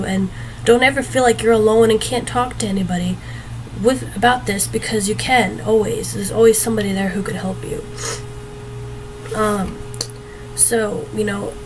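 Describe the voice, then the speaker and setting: Young female vlogger, under the weather, earnest and meandering; mid-pitched, audible background noise. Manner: earnest, rambling with many fillers and restarts. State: slightly sick, voice a bit off. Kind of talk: casual advice talk to camera.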